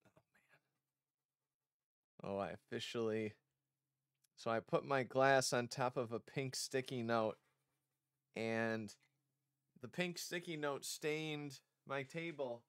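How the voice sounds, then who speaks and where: A man's voice: mid-range voice, slow pace, pauses often, a bit bright, clean tone, dry recording, clean studio sound. A man casually reading a visual novel aloud as he streams it, rambling off into chatty asides. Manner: rambling, chatty asides between read lines. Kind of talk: casual game playthrough commentary with read-aloud dialogue.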